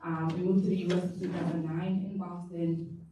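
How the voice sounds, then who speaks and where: Flat, mellow woman from Rwanda. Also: on the low side, normal speed, a little hiss or hum. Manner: warm, conversational, with restarts. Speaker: community engagement manager, panelist. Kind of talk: panel introduction. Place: large room at a public forum. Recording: echoey room, distant mic.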